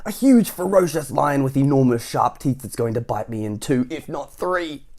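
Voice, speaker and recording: A tiny, easily frightened storybook character. The voice jumps very high and loud, full of melodramatic shrieks of alarm. Despite the squeal, the tone stays soft and mellow underneath. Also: male voice, animated, normal speed. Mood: panicked. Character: tiny timid male, panicky and easily frightened.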